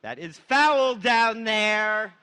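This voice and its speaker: Man, high voice, casual improvised comedy chat. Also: lively intonation, drawn-out words, sharp tone, smooth.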